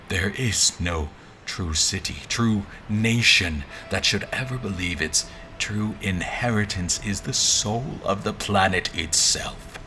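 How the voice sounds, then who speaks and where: A man playing a grim, commanding general who narrates his own dark fantasy tale in a formal, brooding, deliberate way. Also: lowish voice, a little hiss or hum, full character voice. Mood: bitter, intense. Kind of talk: scripted audio drama, first-person narration and dialogue.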